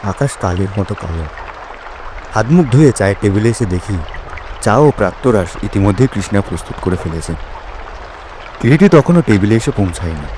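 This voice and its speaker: Loud watery ambience surrounds a low male voice played by a man. He is the detective's companion, recounting a mystery in first person for an audio drama. His delivery is measured, literary and atmospheric. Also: animated, a little hiss or hum, natural voice. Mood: measured, suspenseful. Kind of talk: dramatic literary story narration.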